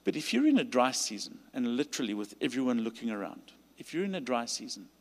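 A man with a South African accent preaching a sermon and leading a call to stand, his delivery rising to emphatic peaks and dropping to quiet pleading prayer. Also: medium pitch, sing-song, fast. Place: large church hall. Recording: long hall reverb.

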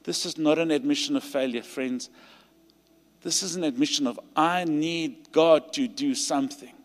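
Man, South African accent, preacher, fairly high voice, emphatic then pleading, long hall reverb. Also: moderate pace. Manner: rising emphatic delivery, quiet pleading prayer. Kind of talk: sermon with altar call. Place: large church hall.

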